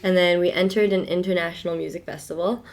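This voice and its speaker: A woman's voice: on the low side, fairly even intonation, fast talker, a little mellow. Chatty young woman laughing often. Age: young adult. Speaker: podcast guest. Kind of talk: casual chat.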